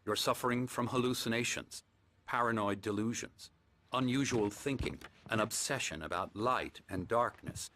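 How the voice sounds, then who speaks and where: A man playing a middle-aged psychiatrist in a video game, calm and measured, patronizing as he soothes patients at his clinic. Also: mid-range voice, slight background noise, lightly acted. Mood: grave, persuasive. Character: calm, smooth, condescending male psychiatrist running a clinic. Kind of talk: video game cutscene acting. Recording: music playing behind the voice.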